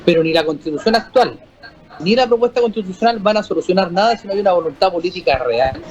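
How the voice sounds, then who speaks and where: A man with a Chilean accent, a guest on a political panel, arguing his view in a measured way with frequent restarts. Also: high voice, a little mellow. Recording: slightly muffled audio with faint music.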